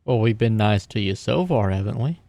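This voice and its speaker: Gravelly man casually roleplaying. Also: lowish voice, lively intonation, a little fast, mellow, no room sound. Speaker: tabletop game player. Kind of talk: casual, joking in-character roleplay.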